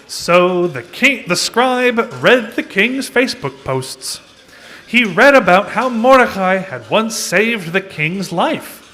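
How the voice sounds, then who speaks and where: A man's voice: high voice, expressive, slow pace, sharp tone. A man narrating a children's puppet show in a storytelling style, recorded live in a social hall. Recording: faint noise in social hall.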